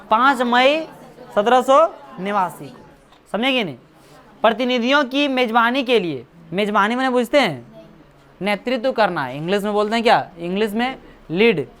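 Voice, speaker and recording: Hindi woman teacher. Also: medium pitch. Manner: keeps checking understanding, repeats dates, teasing asides. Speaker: coaching class teacher. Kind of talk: classroom lecture with questions to students. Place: classroom.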